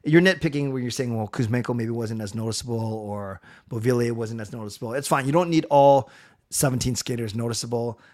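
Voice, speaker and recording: A middle-aged man with a loud voice talks hockey on his own livestream, chatty and rambling, full of ums and restarts. His pitch sits right in the middle for a man. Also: fairly quick. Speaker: livestream host. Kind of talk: casual hockey livestream commentary.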